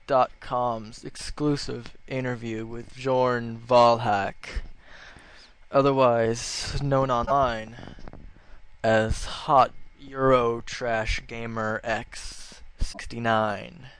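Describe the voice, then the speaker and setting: Man as a slow, earnest gaming interviewer. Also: mid-range voice, little pitch movement, fairly bright tone, clear, resonant tone. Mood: earnest announcing. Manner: deliberate announcing, apologetic, slangy gamer talk. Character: earnest, easily flustered gaming-site interviewer. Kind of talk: parody interview sketch.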